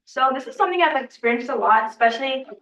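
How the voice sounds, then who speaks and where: A woman's voice: high voice, expressive, quick pace, a bit bright, distant mic. A young woman from Nigeria sharing a personal story, casual and reflective with lots of "like". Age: young adult. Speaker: student discussion co-leader.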